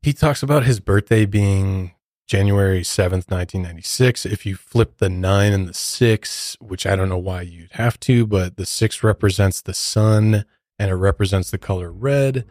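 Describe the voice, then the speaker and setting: A man's voice: low-pitched, moderate pace, darkish tone, no room sound, clean studio sound. An American man hosting a true crime podcast, narrating and commenting in a casual, conversational, disapproving way. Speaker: podcast host.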